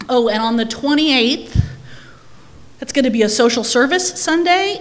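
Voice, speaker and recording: A hoarse woman giving upbeat church announcements at a microphone, listing details. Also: mid-range voice, a little hiss or hum. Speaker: religious education coordinator. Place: church sanctuary. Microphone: microphone at a lectern. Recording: long reverb of a church hall.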